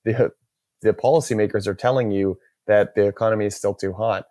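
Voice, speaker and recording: Guy hosting a finance pod, lowish voice, dry room, thinks out loud. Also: steady tone, dry recording. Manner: measured, hedging, thinks aloud. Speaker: podcast host. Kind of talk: casual market chat.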